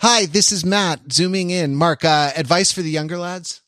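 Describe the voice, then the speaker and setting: Slightly higher-pitched man, casual podcast chat. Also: moderate pace, a bit bright, no echo, studio quality. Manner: jokey, rambling. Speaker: podcast co-host. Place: remote recording on a video call.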